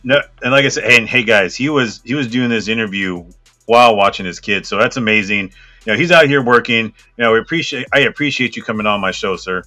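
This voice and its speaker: Podcast host, man on a headset, laid-back and flat delivery, bright tone. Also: lowish voice, fairly quick, soft background music. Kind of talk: casual interview hosting. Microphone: headset on video call.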